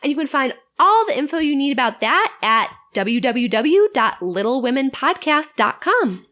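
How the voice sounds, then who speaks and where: Woman, high voice, very animated intonation, wry, joking, casual podcast guest. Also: moderate pace. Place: in-person table recording.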